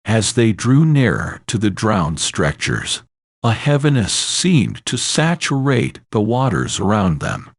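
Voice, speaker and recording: A male narrator delivers scripted horror in a low voice with an unhurried, very slow pace. His delivery is ominous and dramatic, with lively swings in pitch. The sound is close and dry. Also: no echo.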